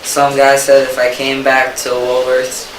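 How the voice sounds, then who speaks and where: A man's voice: mid-range voice, completely flat delivery, unhurried. A male student performer reading his scripted lines aloud. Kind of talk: scripted readers theater, read aloud. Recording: noisy echoey room, distant mic.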